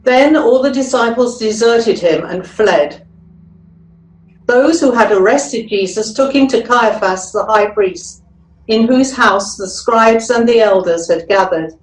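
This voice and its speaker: Higher-voiced woman narrating in echoey room. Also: measured pace. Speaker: narrator, church member reading. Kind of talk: scripture reading, narration.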